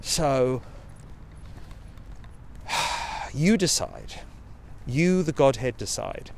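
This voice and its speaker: A man's voice: medium pitch, wildly swooping pitch, very slow, vocal fry, slight background noise. A middle-aged man from South Africa with a South African accent, rambling profanely and self-mockingly in a casual recorded message. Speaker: video message maker. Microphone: handheld recorder.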